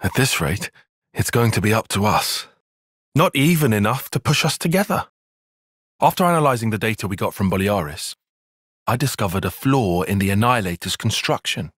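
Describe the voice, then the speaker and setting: A man playing a cerebral, earnest young soldier and strategist in a video game, speaking in precise, formal, analytical language and quick to exasperation. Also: fairly low pitch, expressive, a bit bright, no room sound, studio quality. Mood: somber. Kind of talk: video game cutscene voice acting.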